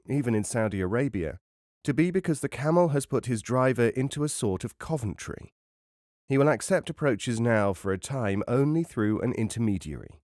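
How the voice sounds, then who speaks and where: A man reading a travel memoir as an audiobook narrator, in a measured, wry and formal literary manner. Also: mid-range voice, a little mellow, no echo, studio quality.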